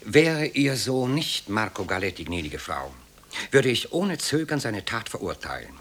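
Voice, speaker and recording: A reserved Polish gentleman in a radio play, with a low, husky voice. He is courteous and measured, yet morally firm. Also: big pitch swings, heavily acted. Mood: grave, judging. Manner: polite, measured, reticent. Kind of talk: German radio drama acting.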